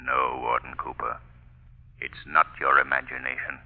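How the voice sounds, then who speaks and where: Eerie, commanding unseen avenger, tinny radio. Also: male voice, a bass voice, level tone, moderate pace, creaky, full character voice. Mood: ominous, taunting. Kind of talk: 1930s-40s radio mystery acting. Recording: tinny narrow-band vintage radio recording.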